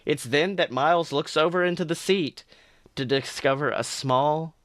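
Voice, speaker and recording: A man reads fan fiction aloud as a podcast host, with lively, dramatic narration. His voice sits a little above average for a man. The recording is dry, with no room sound. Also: a little high, normal speed, no echo.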